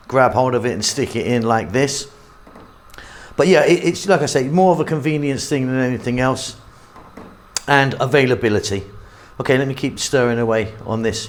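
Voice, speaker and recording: A man talks through a recipe at the stove as a cooking channel host. His voice sits at an everyday middle pitch. He chats in a relaxed, easygoing way and thinks aloud as he goes. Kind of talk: casual cooking demonstration.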